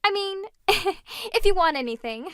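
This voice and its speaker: Woman playing a squeaky-high, starstruck young assistant. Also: expressive, unhurried, sharp tone, no echo, studio quality, full character voice. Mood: eager, flustered. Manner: flustered, gushing. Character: eager, starstruck young female stage assistant. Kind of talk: audio drama acting.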